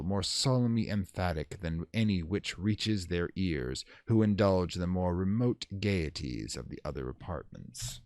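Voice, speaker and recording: Deep, dramatic male horror narrator. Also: darkish tone, no echo, studio quality. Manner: theatrical, solemn, measured phrasing with dramatic pauses. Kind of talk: dramatic reading of gothic horror.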